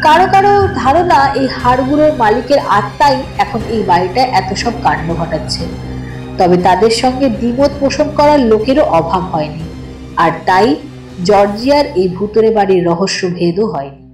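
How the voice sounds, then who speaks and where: High-voiced woman narrating horror, echoey. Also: normal speed. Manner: suspenseful, expressive storytelling. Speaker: story narrator. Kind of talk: dramatic scripted horror audio story narration. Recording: roomy echo, distant, loud music bed.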